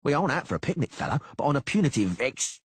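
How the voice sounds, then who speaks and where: A man's voice: mid-range voice, very animated, quick pace, slightly rough, no room sound, pitched above the performer's own voice. A man voicing a crude, sneering beady-eyed mercenary in an audiobook. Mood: sneering mocking. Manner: mocking, crude.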